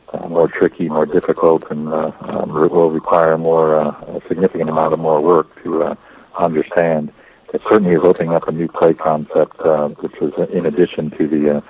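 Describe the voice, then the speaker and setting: Male corporate executive for an investor briefing: very low, husky voice, halting and matter-of-fact. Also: darkish tone, low-quality recording. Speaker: oil company executive on an investor conference call. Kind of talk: semi-prepared technical briefing to investors.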